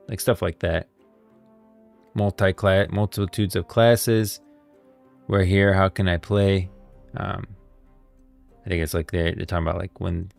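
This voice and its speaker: Low-pitched man with a gravelly creak. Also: darkish tone. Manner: informal, halting, repeats phrases while thinking aloud. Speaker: streamer, host of a gaming lore stream. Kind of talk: casual livestream chat, reading posts aloud.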